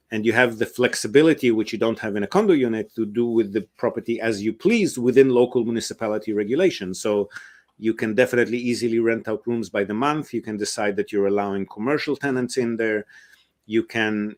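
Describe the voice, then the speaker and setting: A man, a real estate investment advisor, answers interview questions at length, explaining step by step with frequent ums and uhs. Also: lowish voice.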